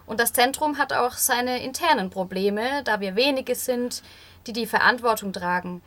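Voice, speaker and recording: A woman's voice: a little high, moderate pace, a bit bright. A woman reading translated interview answers as a voice-over. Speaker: voice-over reader.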